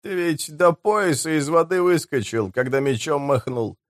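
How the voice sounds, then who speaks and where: A man voicing a talkative, friendly rogue with an ironic, animated manner in an audiobook. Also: a little high, normal speed, dry recording, studio quality, pitched above the performer's own voice, natural voice. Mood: incredulous.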